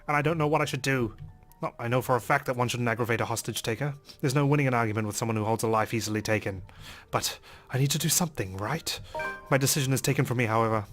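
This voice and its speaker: A man playing and reading a visual novel aloud in a dramatic, expressive way as a let's player. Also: mid-range voice. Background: game music.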